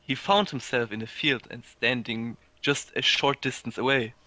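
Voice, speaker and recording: Forceful man narrating, stumbling as he reads. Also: mid-range voice, dry recording, low-quality recording. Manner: halting, stumbles over words and re-reads. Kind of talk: amateur fan fiction narration.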